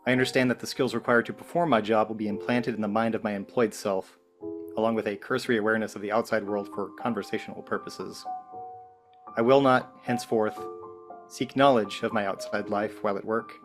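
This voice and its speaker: A man's voice: lowish voice, steady tone, fairly quick, close to an everyday voice. A man playing an anxious, resigned office employee in a script table read. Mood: solemn, measured. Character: anxious, resigned office employee signing away his memory. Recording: music playing behind the voice.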